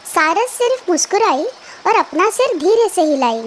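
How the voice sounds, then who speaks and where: Very high-voiced, animated woman storyteller. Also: moderate pace, a little hiss or hum. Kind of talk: scripted children's story narration.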